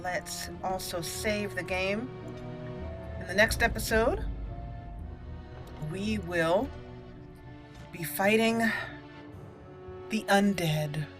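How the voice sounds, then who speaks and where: A woman's voice: mid-range voice, very slow, long pauses. A woman hosting a gaming let's play, chatting casually and thinking aloud as she plays. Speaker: gaming channel host, let's play commentator. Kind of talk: casual gameplay commentary. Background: game music.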